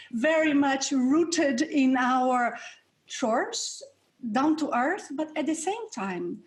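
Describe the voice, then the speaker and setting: A middle-aged woman from Brazil with a Brazilian accent, a non-native English speaker answering interview questions in an earnest, reflective way with light laughs. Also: fairly high pitch. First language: Portuguese. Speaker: guest researcher and instructor.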